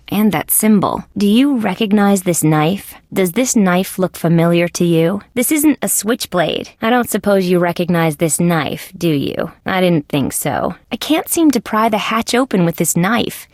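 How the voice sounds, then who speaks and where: Wry, inquisitive lady detective for an adventure game: deadpan sarcasm, gravelly fry, dry close sound. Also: on the low side, no room sound. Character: female insect police detective, wry, inquisitive, level-headed. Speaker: detective character in a video game. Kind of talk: scripted adventure game voice lines.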